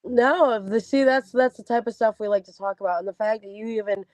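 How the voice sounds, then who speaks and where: A woman's voice: on the high side, brisk, a little mellow, dry recording. A woman hosting a live podcast interview, asking questions and encouraging her guest. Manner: encouraging, upbeat, affirming interviewer. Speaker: podcast host.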